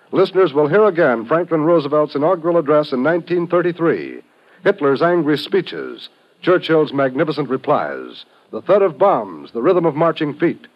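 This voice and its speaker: A man announcing in scripted 1950s network radio style, formal and emphatic. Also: fairly high pitch. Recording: dull old radio broadcast recording.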